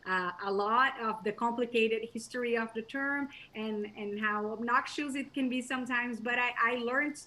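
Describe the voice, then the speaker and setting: A woman speaking English with a Brazilian Portuguese accent, her voice sitting a little high. She answers an interviewer thoughtfully, often pausing on fillers and restarting her sentences. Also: pushed and bright, poor audio. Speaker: guest, professor and journalist.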